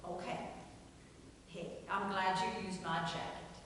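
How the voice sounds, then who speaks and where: A woman's voice: mid-range voice, steady tone, measured pace, fairly bright tone, slight background noise, close to an everyday voice. A woman reading the part of a stern but teasing grandmother in a table reading, firm and scolding. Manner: firm and scolding, with playful laughs. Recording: echoey hall, far from the mic.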